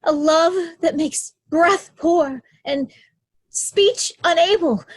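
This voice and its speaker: Woman acting flattering princess, very slow, high. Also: no room sound. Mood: effusive, flattering. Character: flattering eldest princess professing grand love. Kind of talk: Shakespearean reader's theatre.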